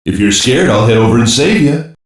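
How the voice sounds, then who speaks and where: A man playing a gruff, cocky special-operations soldier in an English-dubbed anime game, casual and sardonic. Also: mid-range voice, lively intonation, a little fast, distant mic, close to an everyday voice. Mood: gruff, wry. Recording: roomy heavy echo, off mic.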